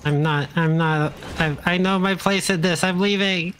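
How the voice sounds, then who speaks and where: A fairly high-pitched man talks casually into a headset while gaming with a friend. He rambles through jokey stories with a light hiss in the background. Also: fairly even intonation, normal speed, fairly bright tone. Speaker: streamer. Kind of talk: casual co-op gameplay chat.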